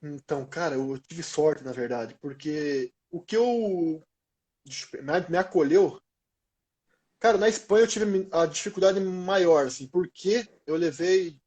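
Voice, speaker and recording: A Brazilian man in his thirties talks casually in an interview, with a slightly high voice. His Portuguese is informal and full of slang, told like stories to friends. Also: a little slow, a little mellow. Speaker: former professional footballer being interviewed.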